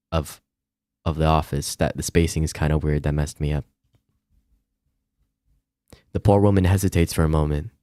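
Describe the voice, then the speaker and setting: Male game streamer, very low register, casual read-aloud delivery with off-the-cuff remarks, dry recording. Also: darkish tone, no echo. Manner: stumbles and comments while reading. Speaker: streamer playing and reading a visual novel aloud. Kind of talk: casual livestream chat and read-aloud narration.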